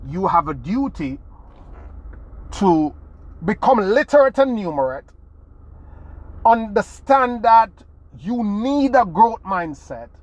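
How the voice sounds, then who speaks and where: A man's voice: high voice, poor audio. A man from Jamaica, giving an earnest motivational talk as a podcast host, restarting phrases and leaning hard on key words. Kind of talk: motivational monologue.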